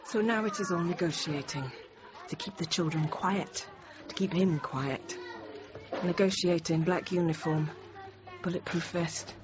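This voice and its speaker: An actress in her thirties playing a frightened but composed French teacher held hostage, narrating quietly, often near a whisper. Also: lowish voice, a little slow, natural voice. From France. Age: 30s. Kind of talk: first-person dramatic narration. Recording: dull noisy radio drama recording.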